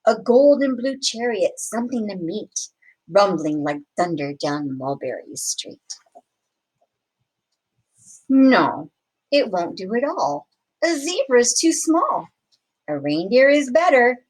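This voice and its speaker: A woman reads a children's story aloud in a somewhat high-pitched voice. Her delivery is very unhurried and expressive, with dramatic, deliberate phrasing made for young listeners. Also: husky, rough recording. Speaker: storybook reader. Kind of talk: expressive children's picture book read-aloud.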